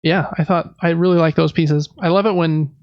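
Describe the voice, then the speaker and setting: A man's voice: a little high, fairly even intonation, normal speed, no room sound, muffled recording. A man co-hosting a casual podcast, describing board games in an informal, hesitant, self-deprecating way. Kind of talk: casual show-and-tell chat about board games.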